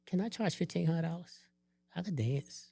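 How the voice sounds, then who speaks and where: A man's voice: fairly high pitch, moderate pace, vocal fry, husky, no echo, soft background music. Joking man ranting on a podcast. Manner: animated, joking, rants and teases. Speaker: podcast co-host. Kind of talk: casual chat.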